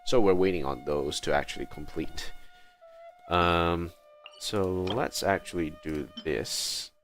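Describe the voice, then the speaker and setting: A male livestreamer with a deep voice talks casually through his gameplay. He goes slowly, thinking aloud in short, halting phrases. Soft music plays behind him. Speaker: game livestreamer. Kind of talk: casual live gameplay commentary.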